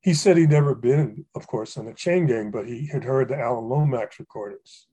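An older man from Hawaii telling stories about fellow poets in a relaxed conversation, with frequent fillers and restarts. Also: mid-range voice, moderate pace, husky, rough recording. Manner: rambling, many fillers and restarts, warm anecdotes. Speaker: poet and guest. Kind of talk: reflective storytelling conversation.

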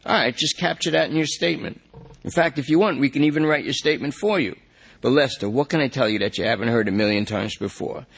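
A man's voice: medium pitch, quick pace, vocal fry, fairly bright tone, slightly rough, voice pushed up. A man voicing a wry male police detective who coaxes a suspect in a matter-of-fact way. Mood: coaxing.

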